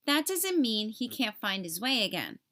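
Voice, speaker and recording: A kindly old Santa Claus from a storybook, as a woman reader puts him on. The voice is bright and a little high for the part. He explains things gently and reassuringly. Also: sing-song, normal speed.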